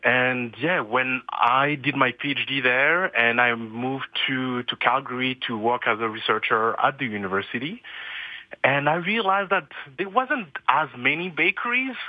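A man's voice: medium pitch, unhurried, dry recording. A man speaks as a radio guest, earnest and hesitant, often restarting a phrase. His voice comes over a dull, lo-fi line. It sounds dry and close, with no room around it. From France. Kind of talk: radio interview.

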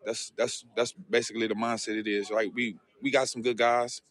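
A man's voice: mid-range voice, level tone, normal speed, fairly bright tone. Rambling football player man. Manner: rambling, many fillers and restarts. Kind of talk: casual interview answers.

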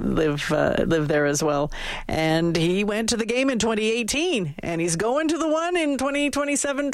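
A woman's voice: on the low side, gravelly, no echo. A woman hosting a morning talk radio show, chatty and upbeat, with frequent fillers. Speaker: radio host.

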